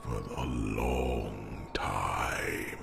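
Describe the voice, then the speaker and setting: Extremely deep, gravelly dragon king. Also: fairly bright tone, a little hiss or hum, exaggerated voice. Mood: brooding, regretful. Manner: slow, halting, solemn storytelling. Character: old male dragon, former Alpha king, solemn and regretful. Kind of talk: ASMR fantasy roleplay. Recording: echoing voice over music and chimes.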